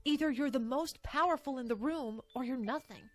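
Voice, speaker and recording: A woman plays a proud young unicorn mare who lives for her studies, her voice fairly high. She speaks curtly and dismissively, with soft music behind her. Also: a little fast, close to an everyday voice. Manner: curt, dismissive.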